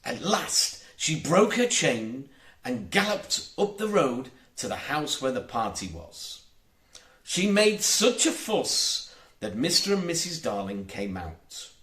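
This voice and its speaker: A man's voice: medium pitch, big pitch swings, takes it slowly, a bit bright, roomy sound. A man reading a children's storybook aloud as an audiobook narrator, expressively emphasizing his words. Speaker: audiobook reader.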